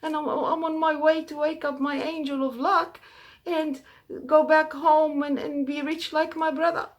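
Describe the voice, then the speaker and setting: A man's voice: very high voice, little pitch movement, a little slow, voice pushed up. Woman doing a stammering, desperate poor man. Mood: pleading. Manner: stammering, pleading. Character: poor desperate wandering man, pleading, timid.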